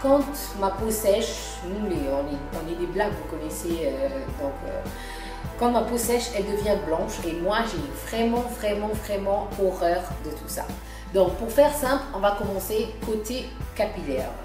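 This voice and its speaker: A woman's voice: medium pitch, expressive, normal speed. Chatty woman beauty vlogger, echoey room. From Congo. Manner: chatty, hesitant, many fillers and restarts. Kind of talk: casual beauty vlog talk. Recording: echoey room with music behind. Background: background music.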